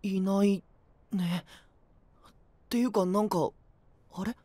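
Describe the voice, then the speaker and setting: A breezy, teasing young knight. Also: male voice, high-pitched, steady tone, slow pace, mellow. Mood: puzzled. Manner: casual, light, teasing. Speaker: voice actor. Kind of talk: anime voice drama.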